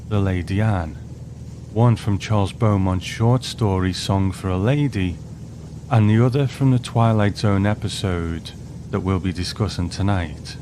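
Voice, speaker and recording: A man's voice: on the low side, background noise. A man hosting a show with scripted narration, introducing and discussing a story. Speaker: host.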